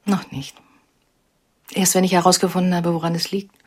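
A woman's voice: on the low side, flat delivery, moderate pace, darkish tone, slightly rough. An actress playing an evasive, guarded middle-aged painter mother, speaking tersely in a radio drama. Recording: music under the voice. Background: music.

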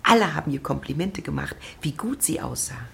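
A woman playing an effusive, excitable middle-aged mother in a German radio drama, theatrical and slipping into breathy tones. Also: low voice, fairly quick, exaggerated voice. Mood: gushing. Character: gushing, excitable middle-aged mother, vain about her daughters.

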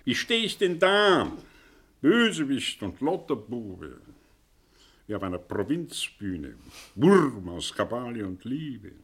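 An actor playing a proud, condescending older professor in a radio play, husky, ironic and erudite. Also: male voice, mid-range voice, sing-song, a bit bright, exaggerated voice. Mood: agitated, earnest. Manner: ironic, erudite, halting when agitated.

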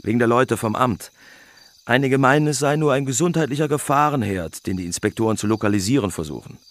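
A man's voice: medium pitch, animated, fast talker, natural voice. A man playing a gossipy, uneasy spa-town resident in a German radio play. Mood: conspiratorial, uneasy.

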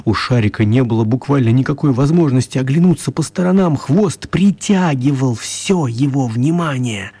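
A man narrating a children's radio play as an expressive storyteller, with theatrical, deliberate phrasing. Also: high voice, vocal fry, husky, no room sound.

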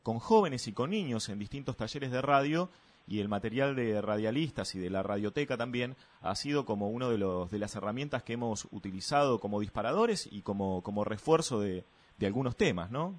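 A man's voice: lowish voice, lively intonation, a little fast. A man hosting a radio interview, asking questions in a friendly, conversational way. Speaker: radio host.

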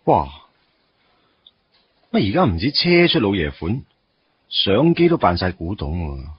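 A man acting a wistful, absent-minded young office worker in a radio drama, with a Hong Kong Cantonese accent and a husky voice. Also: fairly low pitch, big pitch swings, unhurried, dull audio, a little hiss or hum. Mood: musing.

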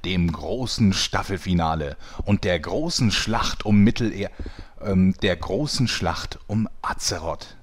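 A man playing a deadpan show announcer in a comic audio drama. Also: low voice, measured pace. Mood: dry, matter-of-fact.

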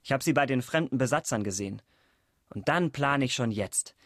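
A resolute, hopeful farmer speaks in a mid-pitched voice. It is a radio play monologue in a dry studio sound. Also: male voice, expressive, fairly quick, fairly bright tone, dry recording. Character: determined male farmer planning machines, goats and emigration.

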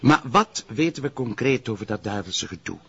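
An actor playing a sceptical, cautious police detective in a TV crime drama, arguing in halting bursts with a Flemish accent. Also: male voice, mid-range voice, expressive, fairly bright tone, breathy edge, dull audio, exaggerated voice. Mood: sceptical, insistent. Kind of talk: naturalistic TV crime drama acting.

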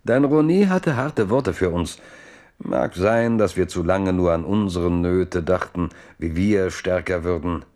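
A solemn chronicler in a radio drama. His voice is deep, and he narrates history deliberately, with a dramatic weight to the phrases. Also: darkish tone. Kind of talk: scripted radio play narration.

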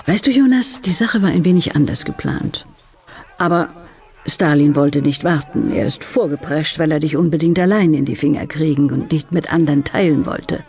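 A woman playing a cool, mocking traitor in a radio play, speaking in a condescending, deliberate, formal way. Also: deep voice, animated, darkish tone. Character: cool, mocking female traitor toying with her captive.

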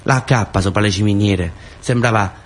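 Male monologue actor, low voice, Pugliese accent, colloquial radio-theatre storytelling. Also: moderate pace, a little hiss or hum. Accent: southern Italian, Pugliese. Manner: colloquial, repetitive phrasing with short fragments. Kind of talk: dramatic first-person radio theatre monologue.

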